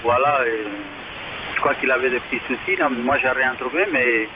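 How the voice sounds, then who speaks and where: A man's voice: mid-range voice, steady tone, moderate pace. A man making casual conversation as an operator on a two-way amateur radio. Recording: muffled radio transmission with clear hiss.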